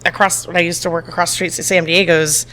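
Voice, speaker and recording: A woman's voice: on the low side, flat delivery, fast talker, sharp tone, soft background music, rough recording. A woman co-hosting a podcast in casual, informal chat, giggly and swearing freely. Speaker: podcast co-host.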